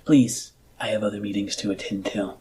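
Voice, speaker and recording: A man voicing a cool, dismissive sci-fi politician in a tabletop game, formal and deliberate. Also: lowish voice, steady tone, darkish tone, slight background noise, natural voice. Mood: dismissive, polite.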